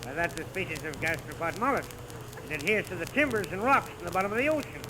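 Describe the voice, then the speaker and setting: High-voiced, matter-of-fact sideshow attendant. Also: male voice, animated, fast talker. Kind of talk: 1930s radio comedy acting. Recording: very noisy vintage 1930s radio recording.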